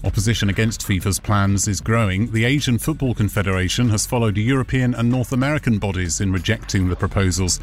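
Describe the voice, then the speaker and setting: A man's voice: on the low side, brisk, few pauses. A man presenting a scripted news bulletin. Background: music bed.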